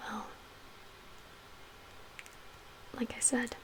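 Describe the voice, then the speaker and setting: A woman playing a calm, guarded survivor leader in a soft-spoken ASMR roleplay, half-whispering in a measured, reassuring way with pauses. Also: lightly acted, low-quality recording. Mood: gentle, concerned. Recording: audible background noise.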